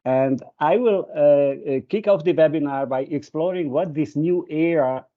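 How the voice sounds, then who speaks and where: A man's voice: on the high side, normal speed. Hesitant Hungarian man on a muffled call. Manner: hesitant, many fillers and restarts. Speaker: webinar presenter. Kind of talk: presentation with an anecdote. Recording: muffled video call audio.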